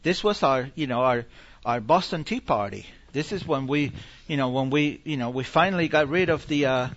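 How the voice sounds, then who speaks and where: A dull, band-limited recording carries a man preaching informally. His voice sits a little high and cuts through sharply. He stumbles and repeats himself as he explains and fields questions. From Argentina. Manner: animated, halting, repeats phrases. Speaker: visiting preacher. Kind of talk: informal religious teaching with audience questions.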